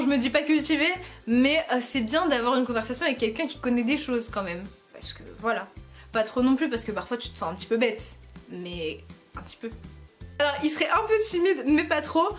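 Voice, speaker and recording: A young woman from France chatting casually to her camera at home, playful and self-mocking, often breaking into laughter. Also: sits high. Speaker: YouTuber. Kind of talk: casual vlog chat to camera.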